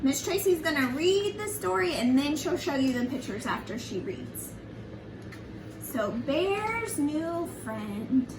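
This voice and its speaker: A woman reading a picture book to young children like a preschool teacher, in an animated, sing-song, encouraging way with simple questions to her listeners. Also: high-pitched, slow. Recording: echoey room, distant mic, noisy background.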